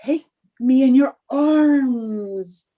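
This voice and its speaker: A woman's voice: high-pitched, extremely slow, dark tone. A woman playing a scatterbrained young housewife, sly and theatrically innocent, in old-time radio comedy style. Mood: melodramatic.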